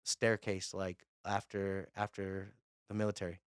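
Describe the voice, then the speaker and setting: A man's voice: low voice, little pitch movement, a little slow, clear, resonant tone, no echo, clean studio sound. A man hosting a podcast interview, chatting in a laid-back way with casual slang. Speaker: podcast host.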